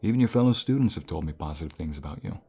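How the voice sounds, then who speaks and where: A man playing a caring university professor in an ASMR roleplay, speaking in a calm, reassuring and gentle manner. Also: a bass voice, racing, slight background noise. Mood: caring, encouraging. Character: caring male university professor comforting a burnt-out student. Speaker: voice actor.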